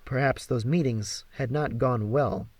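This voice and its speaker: A man narrating a story from a script in an expressive, theatrical way. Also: mid-range voice, unhurried, no room sound. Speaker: amateur audiobook reader.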